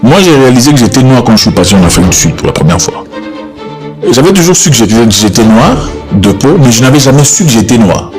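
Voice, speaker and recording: A man's voice: medium pitch, sing-song, fast. A man giving spoken commentary on news clips, hesitant and repeating words. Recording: music bed behind voice.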